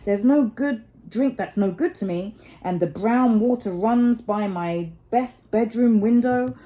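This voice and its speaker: Woman reading as a chummy gentleman water rat, unhurried. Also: fairly high pitch, close to an everyday voice, rough recording. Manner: chatty, assured, old-fashioned chummy. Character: worldly, good-natured male water rat, gentlemanly riverbank animal.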